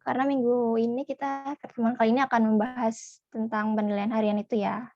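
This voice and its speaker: A woman's voice: a little high, little pitch movement, quick pace, dry recording. A woman teaching a German class online, switching between German and Indonesian as she prompts her students with questions. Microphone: video call. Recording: dull lo-fi video call audio.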